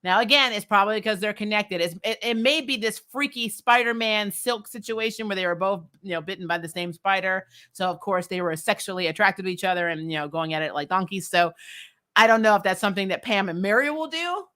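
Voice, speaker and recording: A woman's voice: mid-range voice, brisk, sharp tone. A woman giving casual, opinionated commentary as a recap vlogger, sarcastic and exasperated, with slangy talk and some swearing. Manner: acts out characters' lines mockingly.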